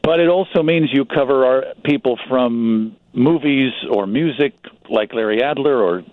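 A man's voice: medium pitch, dry recording, narrow, tinny audio. A middle-aged American man, a writer and publisher, sharing recollections as an enthusiastic, reflective guest in a radio interview. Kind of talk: anecdotal radio interview talk.

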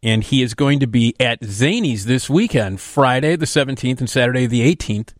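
A man's voice: mid-range voice, normal speed, no room sound, studio quality. A man from Chicago hosting a radio talk show, chatty and stumbling over repeated words. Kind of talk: radio interview. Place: radio studio.

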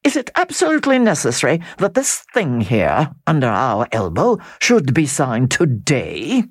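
Give me a soft-spoken, scheming count with a lively, swooping pitch, put on by an audiobook reader. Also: measured pace, no room sound, clean studio sound, heavily acted. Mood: commanding. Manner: smooth, condescending. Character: suave scheming middle-aged male count.

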